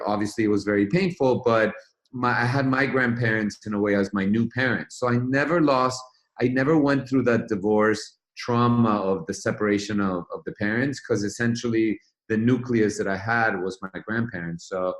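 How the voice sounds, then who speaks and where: Interview guest, male, Venezuelan-born, low voice, thoughtful storyteller who restarts often. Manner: reflective, storytelling, frequent restarts. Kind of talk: casual interview conversation.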